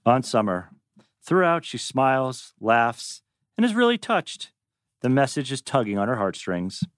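A man narrates screenplay directions at a table read. His medium-pitched voice is highly varied in intonation, and the sound is dry. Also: very animated, unhurried, no room sound.

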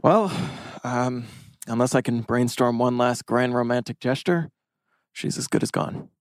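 A man's voice: mid-range voice, measured pace, dry recording, studio quality, natural voice. A man reading a wordy, lovesick teenage boy with big schemes, theatrical and rambling, at a TV drama table read. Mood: mopey.

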